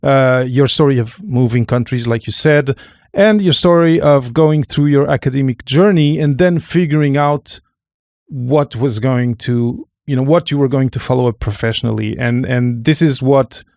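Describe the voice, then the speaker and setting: A male podcast host with a mid-pitched voice that has a noticeable creak. He talks conversationally in a halting way, circling back to rephrase. The recording is dry and close. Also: darkish tone, no echo. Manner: halting, rephrases and repeats phrases.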